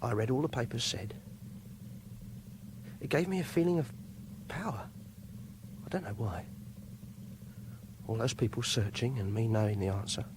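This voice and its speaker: An awkward young man played by an actor with an obsessive, insistent streak, in a radio drama. He speaks hesitantly at a middling pitch, stumbling over his phrases. The recording carries a light hiss. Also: normal speed, pauses often, close to an everyday voice. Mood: quiet, brooding. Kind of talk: radio drama acting with confessional narration.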